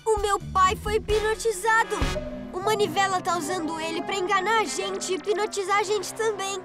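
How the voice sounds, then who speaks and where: A brave little boy rescue motorbike from a cartoon, eager and commanding. The voice is very high and youthful, with clear music behind it. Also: moderate pace. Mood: determined. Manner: earnest, commanding, energetic.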